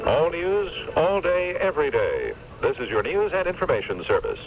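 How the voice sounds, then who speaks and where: A man's voice: a little high, huge pitch swings, normal speed. A man reading scripted news and station announcements on 1970s radio. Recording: lo-fi 1976 AM radio with music.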